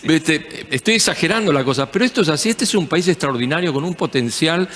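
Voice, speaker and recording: An Argentine man hosts a televised panel and talks in an emphatic, agreeable way. His voice sits a bit high. The studio adds a long echo. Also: lively intonation, a little fast, a bit bright. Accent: Argentine Spanish. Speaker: TV host. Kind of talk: TV panel discussion.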